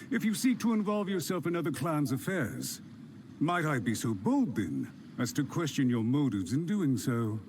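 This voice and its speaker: A man's voice: medium pitch, darkish tone, slight background noise, lightly acted. A man voicing a smug scheming official. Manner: sneering, indignant. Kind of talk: game cutscene voice acting.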